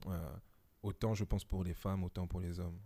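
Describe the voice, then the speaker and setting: A man in his twenties, a podcast guest talking casually and reflectively at home, pausing often with fillers. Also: low voice, level tone, warm tone. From Belgium. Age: 20s. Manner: reflective, many hesitations.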